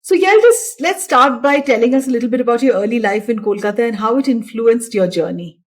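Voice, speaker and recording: A woman's voice: a little high, a little fast. A woman hosting an interview show, giving an effusive, formal introduction of her guest. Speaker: show host.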